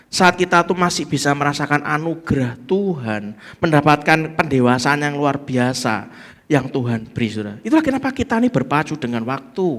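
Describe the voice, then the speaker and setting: High-voiced Javanese-accented preacher. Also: male voice, a bit bright. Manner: emphatic, calls for amen; mixes in local Javanese phrases. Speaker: pastor. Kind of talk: preaching a sermon.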